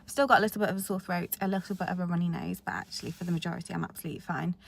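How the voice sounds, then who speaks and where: Chatty young woman with creaky voice. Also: lowish voice, rapid-fire. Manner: chatty and candid, talking straight to camera. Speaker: vlogger. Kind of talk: casual diary-style vlog chat.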